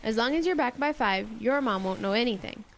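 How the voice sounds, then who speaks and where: A woman's voice: a little high, lively intonation, a little fast, fairly bright tone. A young female performer playing a sensible, questioning teenage girl who looks after her friends in a radio drama. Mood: reassuring, firm. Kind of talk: youth radio drama acting. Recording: noticeable background noise.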